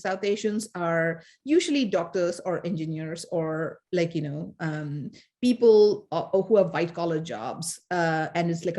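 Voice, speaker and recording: A woman's voice: lowish voice, mellow. A woman from India, co-hosting a casual academic discussion, thoughtful and hesitant with many fillers. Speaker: podcast co-host, fan scholar.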